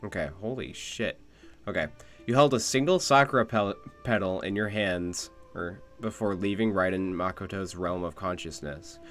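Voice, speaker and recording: Male game streamer. Casual, musing commentary, lowish voice, light background music. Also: normal speed, a bit bright. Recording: music behind the voice.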